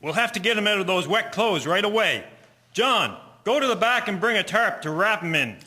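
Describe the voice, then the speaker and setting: Actor as bright-voiced, concerned father, reverberant. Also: sits high, animated, moderate pace. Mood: worried, urgent. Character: concerned, grateful father of a teenage boy. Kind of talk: audio drama acting. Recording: reverberant, faint noise and clicks.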